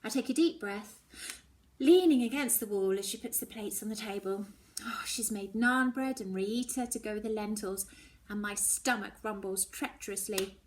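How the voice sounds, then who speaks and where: Female narrator, children's book reading, expressive, dramatic, hushed dips, mid-range pitch. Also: normal speed, poor audio. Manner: dramatic, drops toward hushed tones. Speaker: story reader.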